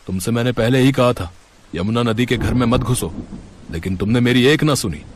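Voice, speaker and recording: A man's voice: medium pitch, a little fast, close to an everyday voice. A man playing a worried, scolding older father in a cartoon.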